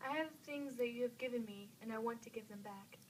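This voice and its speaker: Dutiful young noblewoman, higher voice played by a woman. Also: brisk, a little hiss or hum, natural voice, poor audio. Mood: hurt. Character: dutiful, obedient young noblewoman Ophelia in puppet show. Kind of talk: amateur scripted puppet-show acting.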